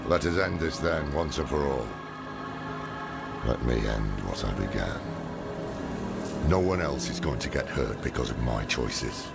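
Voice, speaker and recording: A man's voice: a bass voice, animated, measured pace, gravelly, long pauses, exaggerated voice. An actor playing a grim, weary, resolute old male mentor in a video game, terse and commanding. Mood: grim resolve. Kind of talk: dramatic video game dialogue. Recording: loud music behind.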